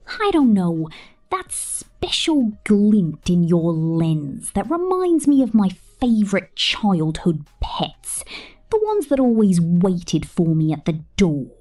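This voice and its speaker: Slow, mellow, whispering ASMR woman in character. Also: on the low side. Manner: slow, breathy delivery slipping into whispers. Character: possessive, teasing young woman space mechanic, condescendingly maternal to robots. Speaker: ASMR roleplay performer. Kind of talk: ASMR character roleplay monologue, often whispered.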